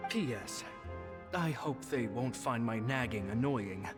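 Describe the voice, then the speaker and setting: Game journal voice-over: thoughtful, worrying magician, musing in an echoing space over clear music. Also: male voice, medium pitch, lively intonation, takes it slowly. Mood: musing, self-doubting. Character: reflective, fretful male stage magician reading his journal. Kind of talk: dramatic game narration. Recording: long echoing reverb.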